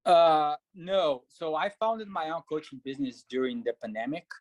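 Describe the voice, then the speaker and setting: A man from Brazil, speaking as a guest on an interview show. He is a triathlete and coach who talks in a measured, thoughtful way with frequent fillers. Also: on the high side, lively intonation, no echo. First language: Portuguese. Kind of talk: casual interview answers.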